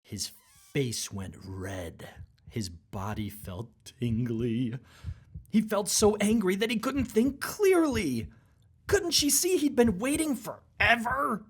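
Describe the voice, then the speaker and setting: Woman reading a rhyming kids book, kinda husky, slow and expressive. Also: mid-range voice. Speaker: storybook narrator. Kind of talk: scripted rhyming children's read-aloud.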